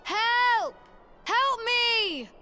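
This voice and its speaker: A woman's voice: very high-pitched, lively intonation, extremely slow, loud music behind the voice, heavily acted. A woman voicing a timid, mischievous little village boy in a video game, easily frightened. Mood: terrified.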